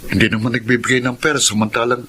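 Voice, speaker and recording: Bright-voiced, earnest Filipino elder brother, acted. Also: male voice, medium pitch, little pitch movement, fast, a little hiss or hum, lightly acted. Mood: concerned, resentful. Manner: earnest, grumbling. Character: disapproving grown son and concerned elder brother. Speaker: radio drama actor. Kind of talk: Filipino radio drama acting.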